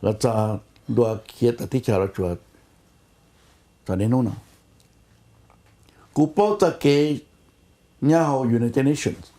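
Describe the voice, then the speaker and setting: A man's voice: mid-range voice, moderate pace, pauses often, dark tone. A man speaking spontaneously in a discussion on a conference call.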